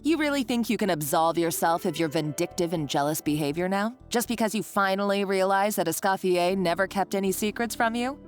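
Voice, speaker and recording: A woman's voice: mid-range voice, brisk, few pauses, natural voice. An actress playing a gloating, scheming female villain in a video game, theatrical and sardonic, taunting as she talks. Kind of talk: dramatic video game voice acting. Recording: game dialogue over background music.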